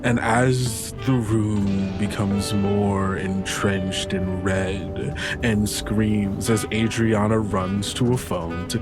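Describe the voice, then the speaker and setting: A man narrating a tabletop horror game dramatically as game master. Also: fairly low pitch.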